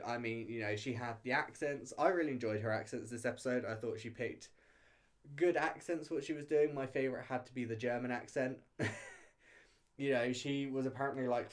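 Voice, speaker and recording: A man with a mid-pitched voice gives his opinions as a reviewer in a casual, chatty commentary. He talks loosely and hesitantly, often hedging and breaking off to rephrase as he goes. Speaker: reality show reviewer.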